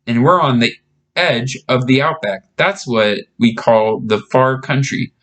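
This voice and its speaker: A man's voice: medium pitch, measured pace, fairly bright tone, natural voice. A man voicing a friendly, reassuring young girl in a storybook reading, chatting and explaining things simply. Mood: cheerful.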